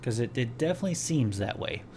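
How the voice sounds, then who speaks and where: A man's voice: medium pitch, lively intonation, quick pace, background noise. Rambling male podcast host. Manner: rambling, many fillers and restarts. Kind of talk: casual chat.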